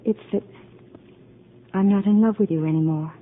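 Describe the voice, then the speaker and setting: A woman's voice: mid-range voice, normal speed, slight background noise, natural voice. A woman playing a tender recovering patient, old radio. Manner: soft, earnest, tender. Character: gentle woman patient leaving an asylum, recovering. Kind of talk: 1940s radio drama acting. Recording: tinny 1940s radio broadcast, faint music.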